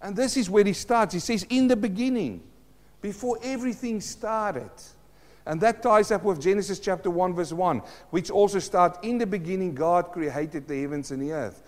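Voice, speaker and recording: A middle-aged man preaches earnestly in a church hall. His is Afrikaans, and his voice sits a little high for a man. The hall's long reverb trails after his words. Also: moderate pace, a little mellow. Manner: earnest, addresses listeners as friends, asks rhetorical questions. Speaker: pastor. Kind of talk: sermon.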